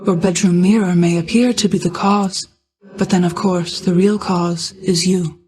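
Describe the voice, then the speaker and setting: A woman voicing a regal, ageless, motherly goddess of night in a video game, speaking in formal, measured, archaic phrasing. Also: on the low side, steady tone, darkish tone, lightly acted, rough recording. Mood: calm, proud. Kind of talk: scripted video game voice acting.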